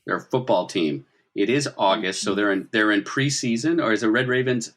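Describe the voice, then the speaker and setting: Low-voiced man running a tabletop game. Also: fairly quick, a bit bright. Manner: prodding players with questions. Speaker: game master. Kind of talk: narrating a tabletop role-playing game, conversational.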